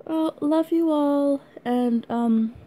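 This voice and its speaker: A young woman from Canada chatting casually through an unboxing video, rambling and giggly, her voice hoarse from being sick. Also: high voice, slow, warm tone. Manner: rambling, giggly, lots of likes and ums. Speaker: vlogger.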